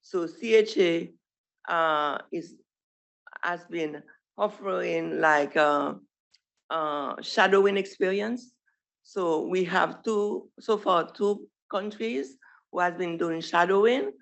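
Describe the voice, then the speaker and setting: Haitian accent, middle-aged, physician, informal presentation, halting restarts, creaky voice. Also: mid-range voice, a bit bright. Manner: halting, with frequent restarts and self-corrections. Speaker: physician presenting a residency program. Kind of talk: informal presentation at an online showcase.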